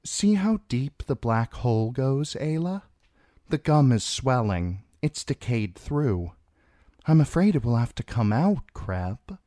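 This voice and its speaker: A man voicing a patient, deferential, practical medicine woman in a storybook, gentle and pleading. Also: mid-range voice, sing-song, takes it slowly, no room sound, studio quality, voice pushed up. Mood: concerned, firm.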